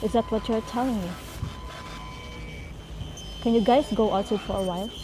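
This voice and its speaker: A woman's voice: a little high, moderate pace, background noise, lightly acted. A woman voicing a stern, impatient investigator who questions students in a firm, businesslike way in a student radio drama.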